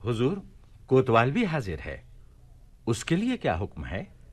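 An actor playing an obliging royal vizier with formal, deferential delivery in a radio play. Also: male voice, mid-range voice, huge pitch swings, a little slow, breathy edge, full character voice.

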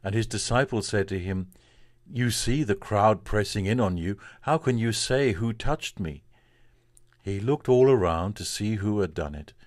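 A man, a priest, reading from the Bible in a solemn, measured and deliberate way. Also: lowish voice, expressive, no echo, clean studio sound.

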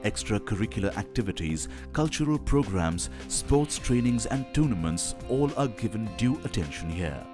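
A man's voice: fairly low pitch, lively intonation, normal speed, few pauses. A man reading a scripted promotional narration. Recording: music bed behind voice. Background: music with plucked guitar.

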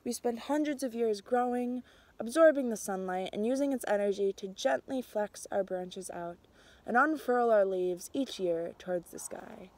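A young adult woman reading a picture book aloud outdoors, with a measured, expressive storytelling delivery. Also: a little high. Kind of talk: storybook read-aloud, narrated as a tree. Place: outdoors in snowy winter woods.

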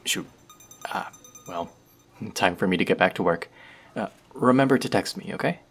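A man's voice: low-pitched, normal speed, a bit bright, breathy edge, faint music behind, exaggerated voice. A man playing a chatty young barista who teases and rambles in a friendly way, in a scripted audio roleplay. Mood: rambling. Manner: playful, rambling, teasing.

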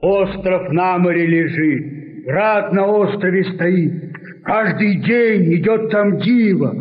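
An actor playing a seafarer in an old cartoon, solemnly declaiming rhymed verse about marvels. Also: male voice, high voice, slow pace. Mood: awed. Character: fairy-tale seafarer solemnly recounting island marvels. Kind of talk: 1940s Soviet cartoon verse recitation. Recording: muffled, echoing 1940s soundtrack with loud music.

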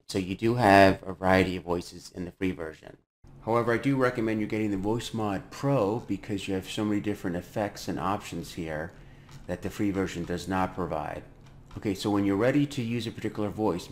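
A middle-aged man narrating a software tutorial as its host. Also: low voice. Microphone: close desktop microphone. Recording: clear background noise.

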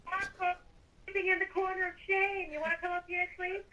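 A woman's voice: very high-pitched, flat delivery, fairly quick, sharp tone, low-quality recording. A woman improvising casual roleplay while gaming, giving instructions like a peacemaker. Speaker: player in a group game roleplay.

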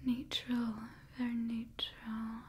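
A young woman performing a whispered ASMR roleplay as an imperious, doting old vampire clan overseer, breathy and theatrical. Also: a little high, monotone, slow pace, darkish tone, a little hiss or hum. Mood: doting, appraising. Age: young adult.

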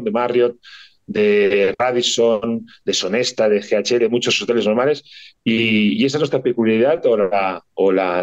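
A man's voice: mid-range voice, highs cut off. Spanish man explaining with hesitant fillers. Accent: Spanish from Spain. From Spain, Barcelona. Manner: fluent explaining with frequent hesitations and repeated words. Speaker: startup founder and CEO, guest. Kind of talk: interview answers.

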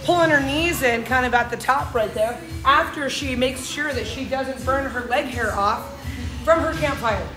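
A high-pitched, bubbly woman in her thirties leads a live workout, cheering people on and calling out the moves. She is recorded on a camera mic across a large gym, so her voice rings around the hard walls. Upbeat workout music plays underneath her. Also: normal speed. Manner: bubbly, encouraging, cheering and counting down. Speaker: fitness coach. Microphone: camera mic a few steps away. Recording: echoey gym with music behind.

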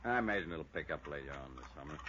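An actor playing a laconic bartender at a small resort in a 1950s radio drama. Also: male voice, extremely low pitch, animated, fast. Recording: muffled, noisy vintage radio recording.

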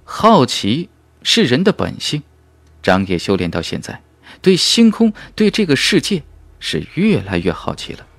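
A man reading a fantasy novel as an audiobook narrator, in a dramatic, measured and emphatic storytelling manner. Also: medium pitch, wildly swooping pitch, fairly bright tone, no echo.

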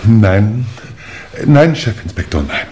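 An actor in a German crime radio play, playing a shaken, courteous English lord who speaks haltingly and formally. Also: male voice, lowish voice, animated. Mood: flustered. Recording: noisy old radio play recording.

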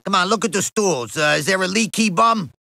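Gravelly, high-pitched surly cartoon bartender. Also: male voice, lively intonation, brisk, bright, forceful tone, dry recording, heavily acted. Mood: earnestly calling out. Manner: blustering, threatening. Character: surly, gullible middle-aged male bartender with explosive temper. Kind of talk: animated sitcom acting.

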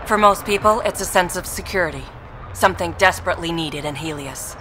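Low-voiced, loyal outlaw recruiter played by a woman. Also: brisk, close to an everyday voice. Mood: earnest. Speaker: recruiter character in a video game. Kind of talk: scripted game dialogue. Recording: noisy industrial ambience behind.

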